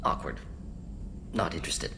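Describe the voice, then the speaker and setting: An actor playing a brisk alien scientist-doctor in a video game, speaking in clipped, clinical fragments with a slyly teasing edge. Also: male voice, sharp tone, slight background noise, natural voice. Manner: clipped telegraphic phrases, dropped pronouns.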